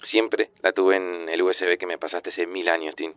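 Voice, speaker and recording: An actor playing an affectionate, coaxing groom in an audio drama, speaking gently in an Argentine accent. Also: male voice, sits low, flat delivery, moderate pace, clean tone, no room sound. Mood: apologetic. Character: affectionate, coaxing groom talking privately to old friend. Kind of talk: naturalistic audio fiction acting. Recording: dull walkie-talkie style sound.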